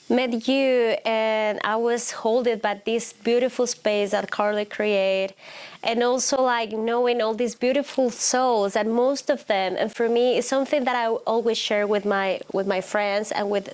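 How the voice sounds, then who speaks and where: Expressive woman, non-native speaker. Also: on the high side, a little slow. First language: not English. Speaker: guest. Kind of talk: heartfelt conversation.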